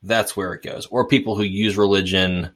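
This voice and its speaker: Deep-voiced, thoughtful, hesitant man. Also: fairly even intonation, normal speed, fairly bright tone. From suburban Boston. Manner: thoughtful, hesitant, restarts often. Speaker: podcast co-host. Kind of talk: casual discussion.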